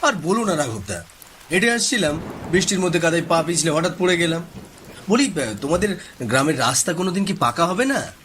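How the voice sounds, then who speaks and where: Man acting a grumbling, animated younger cousin. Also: fairly high pitch, fairly quick, a little hiss or hum, pitched above the performer's own voice. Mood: sheepish, grumbling. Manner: complaining, groaning. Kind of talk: cartoon voice acting.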